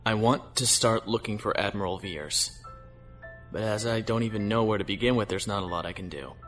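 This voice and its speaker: A man acts a weary starship captain with a fairly low voice. He speaks earnestly and reflectively, as a principled leader in a space audio drama, with music behind him. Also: brisk, a bit bright, lightly acted. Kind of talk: science fiction audio drama acting.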